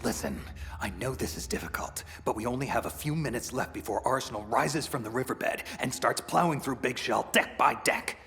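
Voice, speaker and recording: An actor playing a grim, battle-hardened middle-aged soldier with a gravelly growl, in a video game drama. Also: male voice, mid-range voice, lively intonation, fairly quick, pushed and bright. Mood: urgent. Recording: long reverb with music behind.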